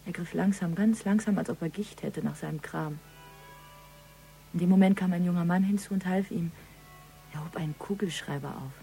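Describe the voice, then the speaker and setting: An actress playing a shy, flustered young witness in a radio crime drama, speaking softly and haltingly. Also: female voice, fairly low pitch, gravelly, faint music behind. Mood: hesitant, recollecting. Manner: halting, hesitant, soft-spoken.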